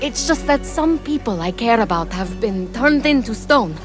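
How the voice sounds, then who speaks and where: A woman's voice: on the high side, animated, moderate pace, over music, natural voice. A woman voicing an earnest, determined young heroine in a video game, often questioning and puzzled. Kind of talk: animated video game voice acting.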